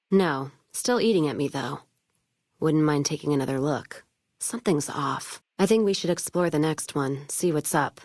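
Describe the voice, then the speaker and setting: A tough, foul-mouthed wasteland trader woman for a video game. Her voice sits low, and she talks bluntly with wry, cynical salt. The recording is close and dry, with no room sound. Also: dry recording. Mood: uneasy, curious. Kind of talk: video game character dialogue.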